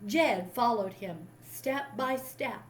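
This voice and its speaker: A woman reading a children's history story aloud in an expressive storytelling style. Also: fairly high pitch, slow pace. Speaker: storybook reader.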